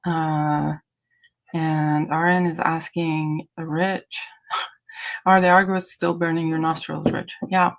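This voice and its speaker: A woman's voice: low-pitched, flat delivery, slow pace. A woman from Iran whose native language is Azeri, hosting a casual livestream chat in a hesitant, rambling way. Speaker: livestream host.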